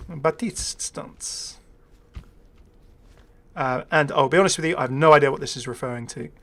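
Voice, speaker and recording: A man's voice: on the high side, moderate pace, gravelly, a bit bright, slight background noise. A man hosting a gaming video with casual, unscripted commentary. He is chatty and hesitant, thinking aloud and dropping wry asides.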